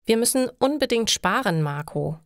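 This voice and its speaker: A woman's voice: fairly high pitch, lively intonation, moderate pace, dry recording, clean studio sound. A woman voicing a practical, thrifty woman in an audio story, earnest and fretting over household matters. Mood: exasperated.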